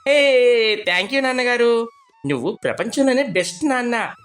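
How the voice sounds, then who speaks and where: An adult voicing a little boy who talks to his father, timid but eager, in an animated moral story. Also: mid-range voice, normal speed, clean tone, faint music behind, natural voice. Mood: excited.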